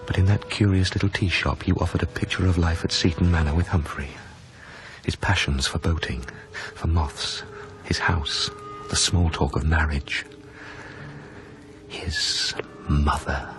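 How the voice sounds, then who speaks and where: An actor playing a grieving, wistful lover, narrating a radio drama in a hushed, measured, brooding manner. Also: male voice, low voice, steady tone, noisy background. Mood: regretful. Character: grieving, wistful former lover recounting a haunted past.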